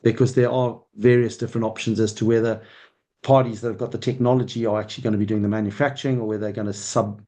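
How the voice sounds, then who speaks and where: A man's voice: on the low side, little pitch movement, fast, dark tone. A middle-aged man with a Zimbabwean accent, answering interview questions as a company chief, explaining things discursively step by step. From Zimbabwe, Southern Africa. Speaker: mining company chief executive being interviewed.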